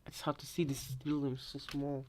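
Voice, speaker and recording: A man's voice: medium pitch, monotone, brisk, a little mellow, slight background noise. A man chatting casually on a live reaction stream, rambling hesitantly and often repeating his phrases. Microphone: headset.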